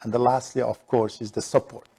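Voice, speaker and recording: A middle-aged man speaks in a conversational interview register with an Iranian Persian accent. His voice sits at an ordinary pitch and has a noticeably creaky, gravelly grain. He sounds like a business guest answering questions. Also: flat delivery, brisk, dark tone. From Shiraz, southern Iran. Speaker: interview guest, real estate broker.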